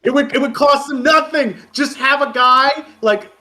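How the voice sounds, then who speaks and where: A man's voice: very high-pitched, moderate pace, pushed and bright. A man chatting casually as an interview guest, rambling and acting out imagined lines as he explains. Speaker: guest, parody web video creator and editor. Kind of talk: animated casual chat.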